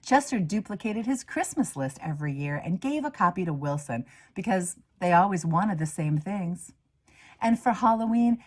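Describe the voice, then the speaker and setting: A woman reads a picture book to children in a fairly low voice with a husky edge. Her pitch moves widely and expressively, dropping into hushed asides and rising again for emphasis. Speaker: reader, minister. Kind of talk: children's storybook read-aloud.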